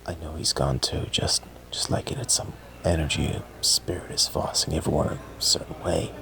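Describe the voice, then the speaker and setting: A very low, creaky voice carries an anxious, obsessive young man played by a male actor in a found-footage horror audio drama. He rambles hesitantly, as if confiding in someone. Faint noise sits behind him. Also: fairly even intonation, moderate pace, fairly bright tone, exaggerated voice. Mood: anxious, agitated.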